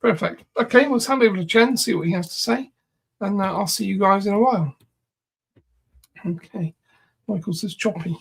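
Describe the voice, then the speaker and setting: A man's voice: high-pitched, normal speed, vocal fry, pauses often, husky. A man hosting a spiritual Q&A stream, chatting casually and offhandedly while sorting out technical issues. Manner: relaxed, offhand asides about tech problems. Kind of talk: casual chat while setting up a live stream.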